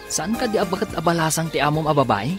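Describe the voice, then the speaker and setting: A man's voice: a little high, expressive, quick pace, over loud music, natural voice. A man acting in a radio drama as a cheeky buddy who teases and coaxes his friend. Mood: coaxing. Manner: teasing, coaxing.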